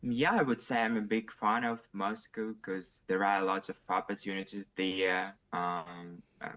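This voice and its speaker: A man's voice: low voice, steady tone, measured pace, fairly bright tone. A young man with a Russian accent, answering test questions hesitantly with many fillers and separated syllables. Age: young adult. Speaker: test candidate. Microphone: video call.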